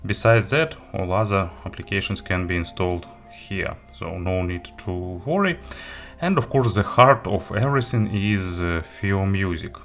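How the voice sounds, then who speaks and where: A man who speaks English as a second language, hosting a casual, unscripted product walkthrough in a halting way, correcting himself as he goes. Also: sits low, lively intonation, a bit bright, soft background music. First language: not English. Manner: halting, hesitant delivery with self-corrections and listed items. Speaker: tech reviewer and host.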